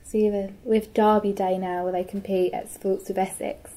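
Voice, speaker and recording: A woman's voice: medium pitch, little pitch movement, normal speed, mellow, poor audio. A young woman interviewing an older university employee, asking casual, slightly hesitant questions. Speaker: student interviewer. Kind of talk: oral history interview.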